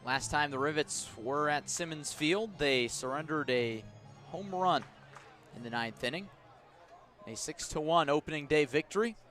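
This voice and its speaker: A man with a slightly high voice works as a baseball radio announcer. He calls the game live in a measured way, filling the gaps with description and lifting his voice when the action comes. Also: a bit bright, faint music behind. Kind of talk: live sports commentary with descriptive asides. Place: outdoor ballpark broadcast position above the stands.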